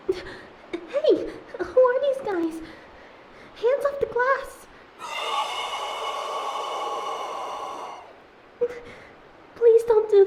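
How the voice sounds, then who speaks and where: A woman playing a young captive mermaid, proud but wounded and wary, speaking haltingly and emotionally in a fantasy audio drama. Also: very high voice, a little mellow. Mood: frightened, pleading. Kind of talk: scripted fantasy audio roleplay. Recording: echoey tank-like reverb, faint ambience noise.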